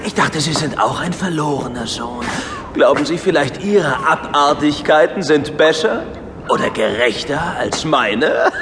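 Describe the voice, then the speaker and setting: A man's voice: fairly high pitch, expressive, a little slow, reverberant, noisy background. An actor playing a gleefully sadistic, unhinged male mastermind in a German audio drama, theatrical and mocking. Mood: mocking glee. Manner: theatrical, mocking, given to cackling laughter.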